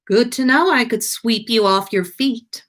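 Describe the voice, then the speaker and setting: A woman's voice: a little high, unhurried, a bit bright, pitched above the performer's own voice. A woman voicing a haughty, sardonic young wizard man who is easily startled, in a storybook reading. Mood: smug.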